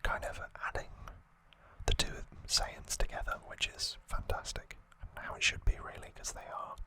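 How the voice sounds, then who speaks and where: Softly whispering ASMR host. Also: moderate pace. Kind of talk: whispered ASMR, recapping an anime story.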